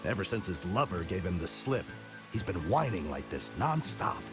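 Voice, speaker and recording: A man's voice: sits low, sing-song, normal speed. A man playing a stubborn, hopeful middle-aged farmer and father in a video game, with an earnest, firm delivery. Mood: wry. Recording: music behind.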